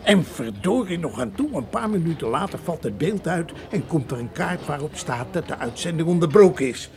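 An actor playing a folksy, excitable café proprietor in a radio play, garrulous and colloquial in his storytelling. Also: a little high, normal speed. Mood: excited gossip. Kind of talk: radio drama acting.

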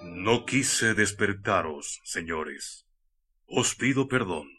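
A man acts out a mysterious hermit for a radio play, with an accent from Spain. The voice is low and forceful, with a husky grain. He speaks very slowly, in solemn, archaic and courteous phrases. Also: lively intonation, faint music behind, full character voice. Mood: courtly, humble. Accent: Spanish from Spain. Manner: archaic, formal, solemn speech. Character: mysterious courteous old-world Spanish hermit in a cave. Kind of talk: radio drama acting.

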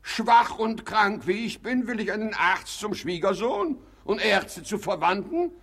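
An actor playing a choleric, self-pitying hypochondriac father in a German radio comedy, blustering and petulant with a raspy voice. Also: sits high, animated, a little slow, fairly bright tone, full character voice. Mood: indignant, self-pitying. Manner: blustering, theatrical, indignant. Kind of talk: German radio play, classic stage comedy.

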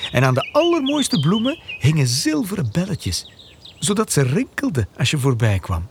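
A man narrating a children's radio play as a storyteller, playful and expressive. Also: a little high, vocal fry, husky.

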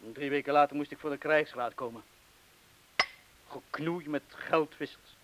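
A man's voice: on the high side, normal speed, lightly acted. Man playing self-doubting bomb man, hissy recording. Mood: bitter confession. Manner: colloquial, bitter self-deprecation. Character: self-doubting wartime bomb disposal man, confessing past cowardice. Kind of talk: Dutch radio play acting. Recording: hissy old radio play recording.

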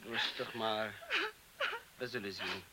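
An actor in a radio drama playing a gentle young student teacher who speaks soothingly. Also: male voice, on the low side, steady tone, heavy background noise. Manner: soothing, slow.

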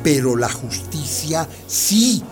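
A man's voice: on the high side, very animated, takes it slowly, faint music behind. An actor playing an indignant, flustered parish priest in a radio drama, moralizing, stammering and repeating his words. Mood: indignant, accusing.

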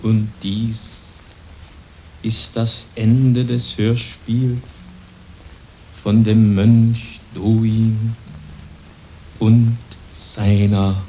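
Grave radio play narrator, low and flat, really measured. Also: male voice. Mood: solemn. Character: grave male storyteller closing a radio play. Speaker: closing narrator. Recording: faintly noisy old radio recording.

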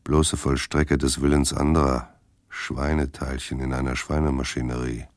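A brooding male narrator for a noir radio crime drama. His voice is extremely deep and recorded very dry and close. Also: flat delivery, moderate pace, no room sound.

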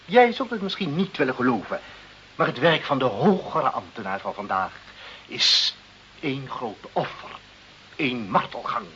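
Pompous, blustering office director, animated and bright. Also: male voice, medium pitch, moderate pace. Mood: self-important. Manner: pompous, bureaucratic, blustering, seeking agreement. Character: pompous, peevish, muddled male director of government office. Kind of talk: radio play acting. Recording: dull, hissy old radio play recording.

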